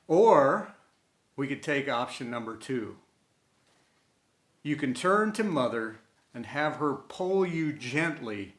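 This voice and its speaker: Man, slightly high voice, unhurried, earnest storyteller, sentimental scripted delivery, dramatic pauses, roomy echo. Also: fairly bright tone. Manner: deliberate, dramatic pauses between short phrases. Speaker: storyteller and host of an inspirational series. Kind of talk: scripted inspirational tribute reading. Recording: roomy, echoey sound.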